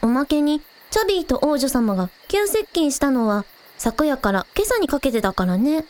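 A woman acts out a young village idol in a radio drama with a high, expressive voice. She is friendly and eager, polite one moment and casually teasing the next. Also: normal speed. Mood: chatty. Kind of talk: Japanese audio drama dialogue.

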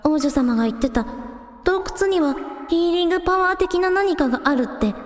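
A woman's voice: very high voice, expressive, fairly quick. A woman playing a spirited, friendly village girl who takes charge, speaking directly in radio drama style. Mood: concerned. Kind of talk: Japanese radio drama acting. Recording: echoey long reverb, music behind.

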